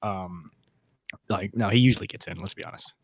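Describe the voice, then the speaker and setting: A man with a fairly low voice co-hosts a casual podcast. He speaks in loose, slangy conversation, and the sound is dry with little room in it. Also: fairly quick, slightly rough, no echo. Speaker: podcast co-host. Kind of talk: casual podcast chat about beatboxing.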